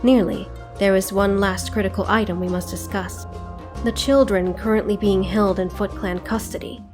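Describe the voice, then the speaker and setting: A woman's voice: medium pitch, steady tone, normal speed, over music, lightly acted. A woman playing a proud, ruthless ninja clan leader in a motion comic fan dub, speaking in a formal, imperious and menacing way. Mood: formal, cool.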